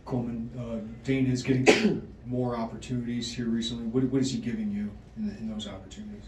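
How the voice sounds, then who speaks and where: A man's voice: mid-range voice, level tone, brisk, distant mic. A man asking questions as a reporter at a press conference. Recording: echoey room, off-mic, faint noise.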